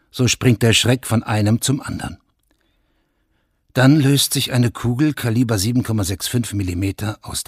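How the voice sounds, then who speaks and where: A man's voice: on the low side, husky, no echo, clean studio sound. A man narrating a scripted radio drama in a sober, matter-of-fact manner. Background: music.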